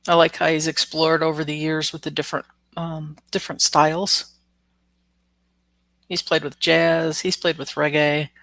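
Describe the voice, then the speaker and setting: A woman's voice: deep voice, level tone, normal speed, gravelly, a bit bright, no echo. Stuffed-up woman chatting on a live stream. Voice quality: nasal, congested. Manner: chatty, greets commenters by name, laughs often. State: stuffed up after the flu. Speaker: live stream host, oracle card reader. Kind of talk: casual live chat with viewers.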